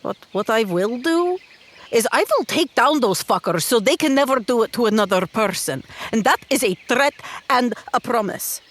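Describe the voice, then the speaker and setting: A woman's voice: on the high side, expressive, normal speed. A woman playing a determined, fiercely loyal young female adventurer in tabletop roleplay, speaking earnestly and emphatically. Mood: resolute, loyal.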